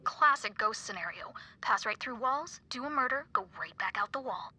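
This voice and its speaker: A woman voicing an excitable young true-crime podcaster in a video game, with a raspy voice and theatrical, breathless delivery. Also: a little high, normal speed, dry recording, exaggerated voice. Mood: eager, insistent. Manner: theatrical, breathless dramatic narration.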